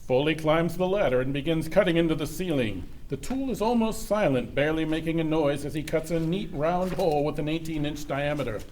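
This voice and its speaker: High-voiced man narrating a radio play. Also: fairly quick, few pauses, darkish tone, a little hiss or hum.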